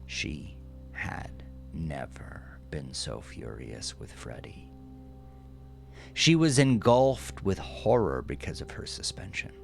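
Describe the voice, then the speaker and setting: A man reads horror fiction as an audiodrama narrator. His voice sits very low and has a gravelly fry. The delivery is measured, literary and dramatic, with music playing underneath. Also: wildly swooping pitch.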